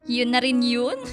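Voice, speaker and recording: A young Filipino woman in a radio drama, caring and emotional as she talks with people close to her. The voice is high, with faint music behind it. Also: exaggerated voice. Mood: playful, affectionate. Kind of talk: radio drama dialogue, Taglish.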